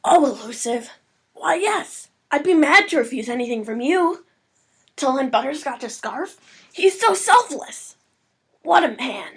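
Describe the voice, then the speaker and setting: A woman's voice: high-pitched, animated, unhurried. A woman voicing a small, eager female baby dragon assistant in a cartoon-style audio story, gushing and informal. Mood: dreamy, gushing.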